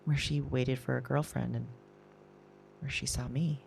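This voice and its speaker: Casting: actress as anxious female diarist for intimate audio drama; unhurried, halting, whisper-prone delivery. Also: very deep voice, steady tone, a little mellow, a little hiss or hum, heavily acted. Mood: hushed, uneasy. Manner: halting, breathy, repeats herself, drops into whispers. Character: shaken, anxious woman recording a diary of strange visitations. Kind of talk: intimate confessional audio diary acting.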